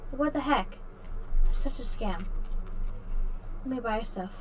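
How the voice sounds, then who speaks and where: A woman streamer chats casually over a game. Her voice is fairly high and she talks slowly, in halting, playful, offhand bits. There is faint noise behind her. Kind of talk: casual gameplay commentary.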